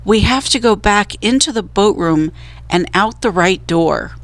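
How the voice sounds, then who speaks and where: A woman's voice: medium pitch, animated, measured pace, no room sound. A woman voicing a curious, earnest young boy explorer in a children's storybook, speaking insistently.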